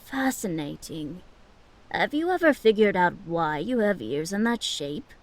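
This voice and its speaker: A woman's voice: fairly low pitch, sing-song, measured pace. An actress playing a polite, inquisitive older halfling merchant woman who muses as she talks, in a fantasy audio drama. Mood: curious, apologetic.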